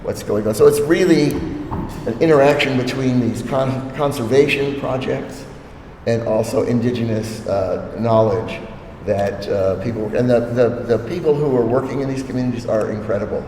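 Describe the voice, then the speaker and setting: An older man, the moderator of a public talk, explains things patiently, with frequent ums and restarts. His mid-pitched voice echoes through a long gallery hall over a faint hiss. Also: normal speed, a little mellow. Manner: hesitant, many ums, thoughtful.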